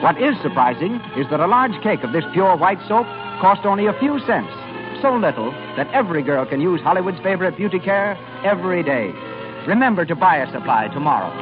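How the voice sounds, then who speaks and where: A muffled old radio broadcast with loud music carries a male announcer. His voice is high and widely swooping, with polished, declamatory 1930s delivery. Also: very animated, a little fast. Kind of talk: formal 1930s radio announcing and sponsor copy.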